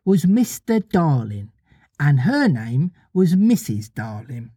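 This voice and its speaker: Storybook girl , put on by a narrator: motherly, patient, gently bossy, lilting and slightly husky. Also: mid-range voice, very animated, takes it slowly, no echo, clean studio sound, full character voice. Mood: settling into story.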